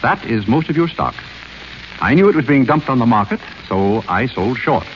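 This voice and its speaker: An actor playing a polite, clever butler in a 1930s radio play, suave and understated. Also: male voice, medium pitch, very animated, moderate pace, close to an everyday voice. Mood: composed. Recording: muffled old radio broadcast with faint hiss.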